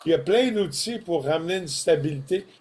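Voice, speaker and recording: An older man with a Québécois French accent talks in a folksy, animated way, full of colloquial asides. His voice sits a bit high for a man. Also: fairly quick. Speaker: co-host presenting products. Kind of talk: casual podcast chat.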